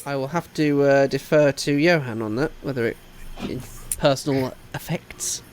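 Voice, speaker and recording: A man's voice: medium pitch, normal speed. A British man running a tabletop roleplaying game, improvising narration for the players. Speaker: game master.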